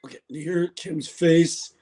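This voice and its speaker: A hoarse man hosting a casual livestream reaction, excitable and laughing, swearing now and then, recorded on a phone. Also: a little high, slow pace. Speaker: livestream host.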